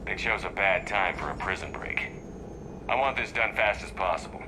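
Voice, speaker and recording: Decisive military commander, low-pitched, terse, firm, sci-fi game, noticeable background rumble. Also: male voice, animated, moderate pace. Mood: terse, businesslike. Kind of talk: video game voice acting.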